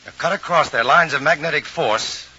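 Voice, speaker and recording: A man playing a calm, brave, practical scientist in a 1950s radio drama, earnest and emphatic in his delivery. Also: a little high, normal speed, husky, full character voice. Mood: urgent, grave. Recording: noisy, dull vintage radio recording from vinyl.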